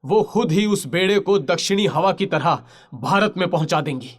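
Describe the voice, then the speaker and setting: An actor playing a proud, lovelorn mariner chief in an audio story, impassioned and pleading with halting pauses. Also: male voice, high-pitched. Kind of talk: Hindi literary audio story drama.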